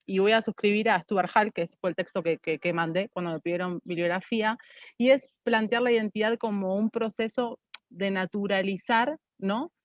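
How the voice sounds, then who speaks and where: A woman's voice: medium pitch, moderate pace, no echo. Argentine woman, academic delivery, muffled sound. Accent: Argentine Spanish. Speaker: panelist. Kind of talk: academic panel discussion.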